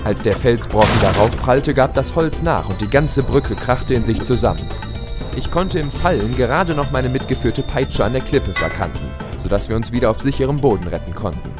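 A man's voice: mid-range voice, moderate pace, music in the background, lightly acted. A man playing an eager adventurous pony hero, expressively narrating his tale in an audio drama. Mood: storytelling. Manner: expressive, storytelling.

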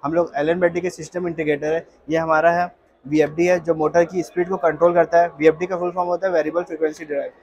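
A man's voice: on the high side, little pitch movement, fast talker, a little mellow, low-quality recording. A man with an Indian accent demonstrating products as an exhibitor, explaining by asking and answering his own questions. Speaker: exhibitor, industrial automation company employee. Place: industrial exhibition stall.

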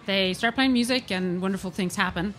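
A middle-aged woman moderating a festival panel, speaking in a halting, self-correcting way into a stand mic in a large tent. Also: mid-range voice, a bit bright, a little hiss or hum, low-quality recording. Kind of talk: introducing a panel segment.

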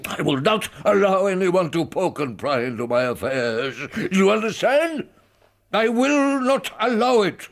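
An older actor playing an irascible, suspicious old professor in a radio drama, imperious and halting. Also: male voice, high-pitched, big pitch swings, gravelly, exaggerated voice. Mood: indignant. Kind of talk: BBC radio drama acting.

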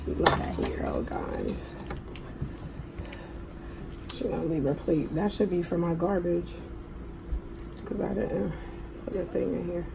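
Hoarse, slow-talking middle-aged woman. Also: on the low side, very animated. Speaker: mukbang host. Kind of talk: casual eating vlog chat. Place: indoors at a table at home. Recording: clearly noisy room recording.

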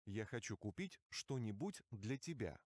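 A man reading single vocabulary words and short phrases aloud for a language course, with slow, careful enunciation. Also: on the low side, very animated, a little mellow, no room sound, clean studio sound. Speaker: language course audio reader.

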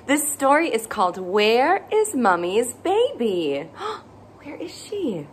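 A young woman with a high, very animated voice reads a picture book to small children. She takes her time and exaggerates playfully, asking questions as she goes. It is recorded in a room with some background noise. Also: takes it slowly. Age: young adult. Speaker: children's story time host. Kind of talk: animated picture-book read-aloud for toddlers. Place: small indoor playroom with bookshelves.

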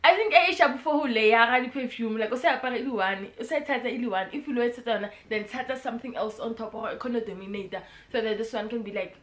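Young woman, Pretoria accent, high bright voice, playful chatty vlog, echoey room. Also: fast, hardly any pauses. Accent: South African, Pretoria. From South Africa. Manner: playful and animated, bursts into snatches of song. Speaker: vlogger. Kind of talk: casual vlog chat mixing English and Sepitori. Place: indoors at home, in front of a brick wall.

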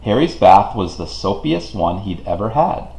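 A man reading a children's storybook aloud in an expressive, deliberate way for young listeners. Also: fairly low pitch, vocal fry. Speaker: children's book reader. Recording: roomy, echoing home recording.

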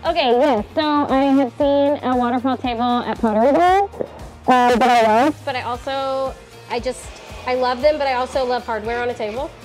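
A woman in her thirties with a high, light voice talks through a furniture build in her garage workshop. She is chatty and laughs easily, often correcting herself mid-thought. Soft music plays quietly underneath. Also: normal speed, rough recording. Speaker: DIY woodworking host. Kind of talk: casual build tutorial.

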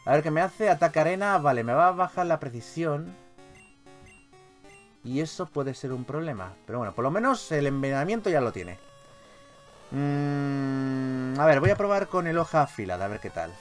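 A man with a Castilian Spanish accent giving casual, animated gameplay commentary as a video host, swearing freely. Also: a little high, moderate pace, soft background music. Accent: Spanish from Spain.

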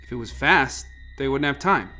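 A man's voice: mid-range voice, lively intonation, moderate pace, sharp tone, soft background music. A man playing a dry, cautious scientist in charge, in a radio drama. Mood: tense, probing.

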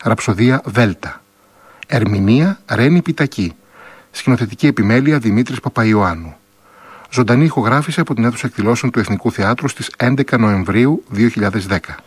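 Husky man announcing, very dry sound. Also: mid-range voice, animated, dry recording. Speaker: radio announcer. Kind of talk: scripted announcement of credits.

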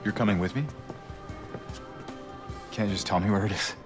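A man playing a troubled, reluctant, withdrawn man in a naturalistic video game cutscene, subdued and halting. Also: lowish voice, steady tone, music in the background, natural voice. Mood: wary, impatient.

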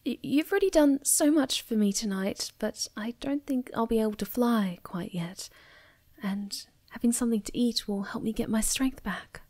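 A young woman playing a sweet, ditzy, shy moth girl in an ASMR roleplay, speaking softly and breathily with gentle stammers, often near a whisper. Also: a little high, moderate pace, no echo, lightly acted. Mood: shy, hungry. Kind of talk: soft-spoken ASMR roleplay.

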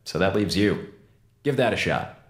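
An actor playing a wisecracking, theatrical communications officer on a space station in an audio drama, sarcastic and slangy. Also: male voice, sits low, lively intonation, moderate pace, roomy sound, slight background noise. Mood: flippant, casual.

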